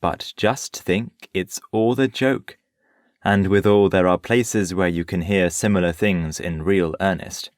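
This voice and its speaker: An actor playing a worldly, unruffled Parisian nobleman in an audio play, urbane and gently patronising. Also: low voice, steady tone, a little slow, no room sound, studio quality. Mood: amused.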